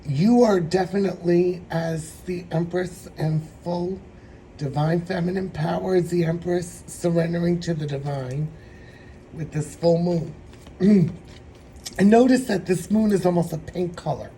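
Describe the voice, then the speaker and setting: Hoarse guy doing a tarot reading, kinda high voice, slow and rambly. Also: mellow, slight background noise, low-quality recording. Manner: meandering, reflective, slow-spoken. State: hoarse, throat trouble. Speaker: tarot reader.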